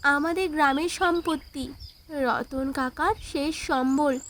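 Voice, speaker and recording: A woman's voice: high voice, takes it slowly, a bit bright, full character voice. A woman voicing a brave, earnest village girl in a fairy-tale cartoon, reassuring and resolute. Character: brave, determined village girl who hunts a thief.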